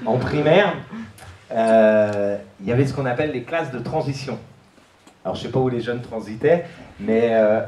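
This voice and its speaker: A man's voice: medium pitch, dark tone, a little hiss or hum. A man from France giving a hesitant personal testimony, with many fillers and restarts. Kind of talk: personal testimony at a church youth event. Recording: echoey room.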